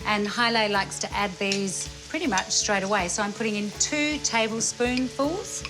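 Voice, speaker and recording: A woman presents a cooking show with informal, enthusiastic chat. Her voice sits at an average pitch for a woman, with prominent music playing underneath. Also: moderate pace, poor audio. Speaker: host, cook.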